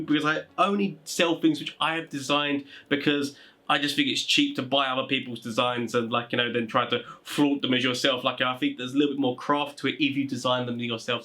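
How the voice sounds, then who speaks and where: Higher-pitched man in informal video chat. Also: a bit bright. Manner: rambling, conversational, with many filler phrases. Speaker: YouTube host giving tabletop game master advice. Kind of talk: informal advice talk to viewers.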